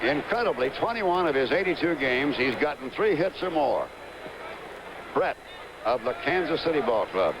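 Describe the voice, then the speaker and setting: A man doing play-by-play on a live baseball game, steadily calling each pitch and reeling off player statistics. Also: moderate pace. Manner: steady, stat-heavy calling of the action. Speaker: play-by-play announcer. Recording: noisy 1970s broadcast recording.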